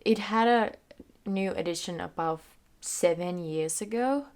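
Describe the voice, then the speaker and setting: A woman's voice: fairly low pitch, slow, a little mellow. A young woman from Finland, a native Finnish speaker who is sick, chatting loosely to camera about her reading from a small room. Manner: chatty, loose, thinking aloud with fillers. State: sick, slightly hoarse. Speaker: book vlogger. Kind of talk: casual chat to camera. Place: small room in front of a bookshelf. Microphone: camera in front at desk distance.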